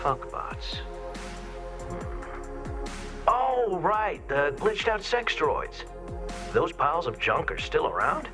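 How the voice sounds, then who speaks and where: An actor playing a mischievous, flamboyant rebel senator in a video game, speaking in a theatrical, teasing way. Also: male voice, fairly high pitch, sing-song, takes it slowly, long pauses, music in the background. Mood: puzzled, dismissive. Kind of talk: scripted video game dialogue.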